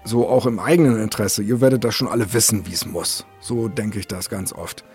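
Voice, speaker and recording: Husky guy hosting a casual podcast, rambly and self deprecating, mid pitched, bit of music behind. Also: fairly quick, darkish tone. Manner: rambling, self-deprecating, jumps between thoughts. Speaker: podcast host.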